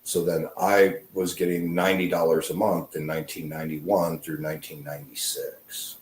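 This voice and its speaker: Man in his fifties, very low voice, rambling, self-deprecating, lots of fillers, echoey room. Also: flat delivery, moderate pace, a little mellow, a little hiss or hum. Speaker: guest, veteran. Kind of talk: casual podcast conversation.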